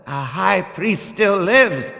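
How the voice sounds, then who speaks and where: Solemn high-voiced zealot in a reverberant hall. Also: male voice, expressive, takes it slowly, gravelly, sharp tone, heavily acted. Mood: solemn, grave. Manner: archaic, formal, ceremonious speech. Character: solemn devout zealot warrior-priest of a builder faith. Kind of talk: scripted fantasy video game voice acting. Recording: long reverberant hall echo.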